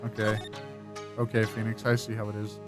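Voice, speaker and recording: A man's voice: lowish voice, completely flat delivery, fairly quick. A man making casual, muttered asides as he plays a video game. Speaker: video game player and voice actor. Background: game music.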